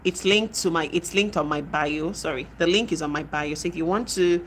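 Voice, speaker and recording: Nigerian woman, young adult, live stream host, low-ish voice, faint noise behind, laughs a lot. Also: brisk. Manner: chatty, laughs often, reacts with gasps. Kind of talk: casual live stream chat reading comments.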